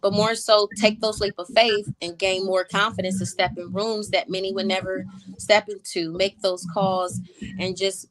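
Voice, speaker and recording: A woman of medium pitch answers an interviewer's questions about her ventures. She speaks with confidence and drive, in the language of corporate goals and growth. It sounds like an ordinary conversational interview. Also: little pitch movement, normal speed, a bit bright, rough recording. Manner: confident, goal-driven business talk. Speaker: guest entrepreneur.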